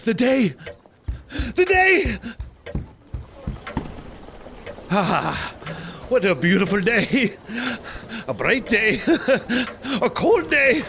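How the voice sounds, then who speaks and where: High-pitched, creaky, excitable old gent. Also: male voice, extremely slow, noisy background, full character voice. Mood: giddy joy. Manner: theatrical, exclamatory, bursting into laughter. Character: elderly reformed miser, giddy, joyful, excitable. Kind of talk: audio drama acting.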